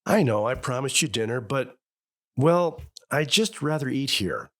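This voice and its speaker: A man's voice: medium pitch, animated, measured pace, fairly bright tone. An actor playing a middle-aged, sentimental and easily exasperated husband and father in an old radio family comedy. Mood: hesitant, earnest. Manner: blustering, hesitant when sincere.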